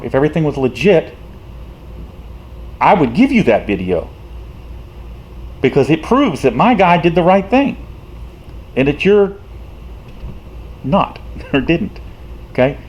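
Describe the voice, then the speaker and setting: A man, a citizen activist, talking casually to the camera in a frustrated, rhetorical way, halting often with fillers. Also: mid-range voice, darkish tone, slight background noise, poor audio.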